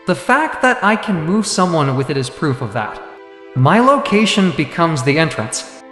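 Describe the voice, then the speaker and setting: An actor playing a calm, confident young male elite hunter in a dramatic manga dub, composed and measured. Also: a little high. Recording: echoey reverberant voice over background music.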